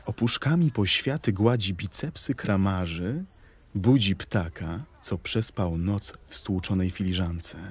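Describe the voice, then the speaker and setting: Male narrator for poetic audio drama: husky, unhurried, measured recitation. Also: fairly low pitch, a little mellow. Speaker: narrator and reader in an audio play.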